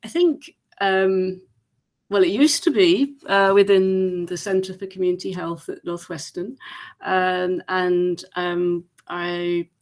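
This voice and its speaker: A woman's voice: lowish voice, fairly even intonation, takes it slowly. A woman with an English accent introducing herself informally at a training session, hesitant and searching for words. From England. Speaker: research engagement freelancer at a training session.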